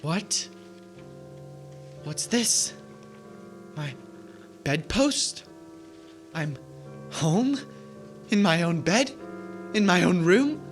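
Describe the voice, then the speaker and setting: A man's voice: high voice, expressive, extremely slow, pauses often, soft background music, exaggerated voice. A man playing a giddy, reformed old miser in a theatrical radio drama, exclaiming and stammering. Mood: overjoyed, giddy. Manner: exclaiming, stammering with glee and gasps.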